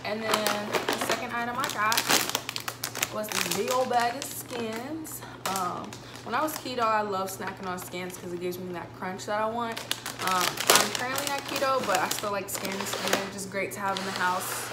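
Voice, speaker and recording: A woman's voice: medium pitch, moderate pace. A young woman chatting informally to camera in a casual vlog, in her small home kitchen. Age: young adult. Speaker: college student vlogger. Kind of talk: casual vlog chat, showing groceries. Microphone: camera a couple of metres away. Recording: echoey room with background noise.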